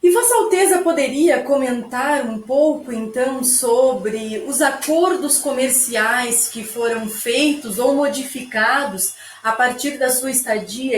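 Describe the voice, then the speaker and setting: A woman's voice: fairly high pitch, lightly acted. A woman playing a formal newspaper interviewer who asks deferential questions. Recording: echoey room.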